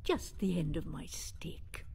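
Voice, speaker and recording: An actress playing a blunt, nosy, sharp-tongued old woman in a radio drama. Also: sits low, expressive, takes it slowly, gravelly, in a whisper, full character voice. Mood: curious, blunt.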